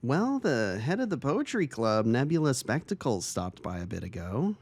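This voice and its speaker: Kindly vice-principal , played by a man, audio drama. Sincere, soft-hearted, mid-pitched with plenty of movement. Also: big pitch swings, moderate pace, dry recording, clean studio sound. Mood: thoughtful. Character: earnest, kindly male vice-principal and devoted brother. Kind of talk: audio drama reading of fan fiction.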